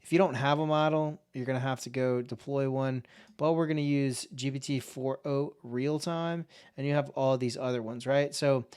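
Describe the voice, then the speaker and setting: A man explains a software tool in a loose, conversational tutorial. His voice is smooth and clear at a mid pitch. He talks informally and thinks aloud as he goes. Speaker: tech tutorial host. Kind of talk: casual screen-share software walkthrough.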